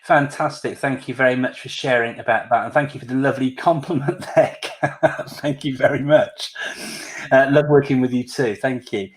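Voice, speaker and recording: A man's voice: mid-range voice, steady tone, moderate pace. A man hosting an online panel, speaking hesitantly with many fillers and restarts. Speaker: host.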